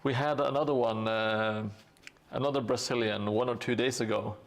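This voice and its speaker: A man streaming live, chatting casually and thanking viewers while he paints, with a relaxed, hesitant delivery full of fillers. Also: mid-range voice, normal speed, creaky.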